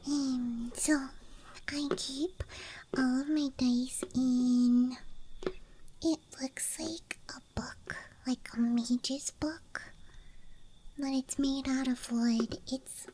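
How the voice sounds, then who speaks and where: A woman whispers in a slow, breathy ASMR style, rambling softly and a little hesitantly. Her voice is very high and mellow. A soft bed of night ambience sits faintly behind her. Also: steady tone, slight background noise. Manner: soft, hesitant, musing, self-deprecating. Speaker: ASMR creator.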